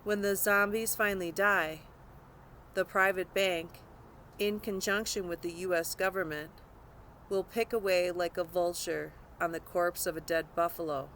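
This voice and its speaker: A woman's voice: on the low side, fairly even intonation, normal speed, fairly bright tone, a little hiss or hum. A woman narrating a scripted nonfiction audiobook, reading aloud.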